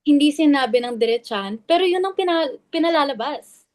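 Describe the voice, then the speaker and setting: A woman's voice: high-pitched, expressive, fairly quick, darkish tone, no echo, clean studio sound. A woman with a Filipino accent playing an anxious, defensive club member in a dramatic reading. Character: anxious, defensive clubwoman guarding the club's image.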